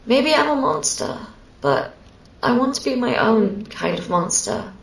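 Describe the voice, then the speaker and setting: A lonely female sleep paralysis demon, acted by a woman for an ASMR roleplay, heard in a roomy echo a little off the mic. The voice is fairly high and very slow, hesitant and wistful as it clings to the sleeper. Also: expressive, gravelly, recorded from a distance. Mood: drained, uncertain. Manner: hesitant, halting, wistful, intimate. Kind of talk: scripted ASMR roleplay addressed to a sleeping listener.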